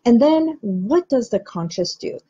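A middle-aged woman from Iran, a hypnotherapist, explaining the mind to viewers in a slow, measured, guiding way. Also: a little high, expressive, mellow, breathy edge, dull audio. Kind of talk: teaching talk to camera.

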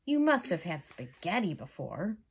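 A woman's voice: lowish voice, sing-song, moderate pace, breathy edge, heavily acted. A woman voicing an earnest, exasperated jellyfish friend in a storybook, questioning and coaxing. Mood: incredulous.

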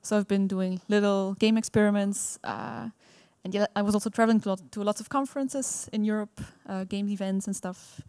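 A woman's voice: medium pitch, steady tone, a little fast, hardly any pauses, a little mellow, smooth, dry recording. A young woman introducing herself casually on a panel, with fillers. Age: young adult. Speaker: panelist, game developer.

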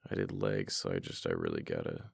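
A man acting as a worn-out husband in an intimate boyfriend audio roleplay. His voice is very low and heavily gravelly, with a husky edge. He talks casually and haltingly, fond but a little exasperated. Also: flat delivery, no echo, studio quality, full character voice. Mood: weary, complaining. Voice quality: deep, gravelly. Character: tired, affectionate husband, mildly exasperated, back from gym.

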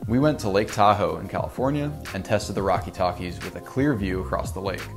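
Young guy doing a scripted how-it-works talk, steady and clear, music under it. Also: low voice, a little fast. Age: young adult. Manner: clear, even, informative delivery. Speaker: company presenter. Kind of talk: scripted product explainer.

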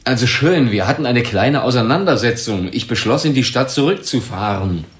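A man's voice: mid-range voice, lively intonation, normal speed, roomy sound, natural voice. An actor playing a defensive, troubled businessman under questioning in a German crime radio drama, explaining himself earnestly and hesitantly. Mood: subdued, confiding.

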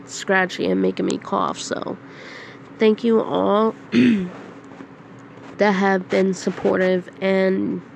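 A woman with a head cold, sounding nasal and hoarse, talking to her channel's viewers in a slow, halting casual announcement. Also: mid-range voice, expressive, darkish tone, a little hiss or hum. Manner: slow, halting, with frequent throat clearing and coughs. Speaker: channel host. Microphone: phone screen recorder.

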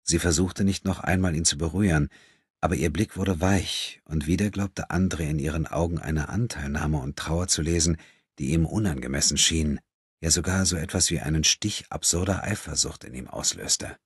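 A man's voice: very deep voice, normal speed, husky, no room sound, studio quality. A man reading literary prose as an audiobook narrator.

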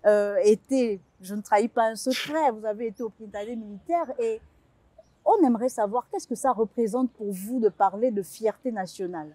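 A woman's voice: a little high, moderate pace, warm tone, no room sound. Poised young woman hosting tv. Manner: formal, poised questioning. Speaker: host. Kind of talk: tv panel moderating. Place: tv studio.

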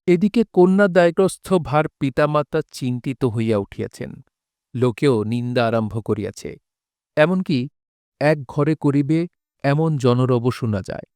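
A man narrating literary prose for an audio drama in a solemn, measured, dramatic way. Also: mid-range voice, a little mellow, dry recording, studio quality.

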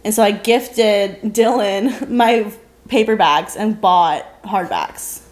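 A woman's voice: medium pitch, slow pace. A young adult woman co-hosting a podcast, chatting casually in an unbothered, matter-of-fact way. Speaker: podcast co-host. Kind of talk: casual chat. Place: living room, on a couch.

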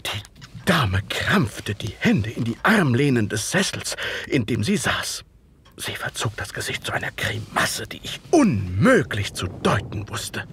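An actor playing an observant gentleman who narrates a detective case in an audio drama, literary and suspenseful. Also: medium pitch, sing-song, a little slow, slight background noise.

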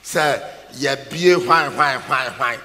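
A man's voice: medium pitch, slow, bright, forceful tone. An older man with a Ghanaian accent preaching a sermon emphatically, repeating key phrases and calling for responses. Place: large packed church auditorium, on stage. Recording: echoey large hall PA, background noise.

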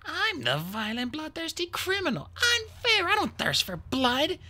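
A woman's voice: high-pitched, huge pitch swings, unhurried, pushed and bright, voice pushed up, heavily acted. A woman voicing a fiery, sarcastic young female dragon in an indignant manner for an audiobook.

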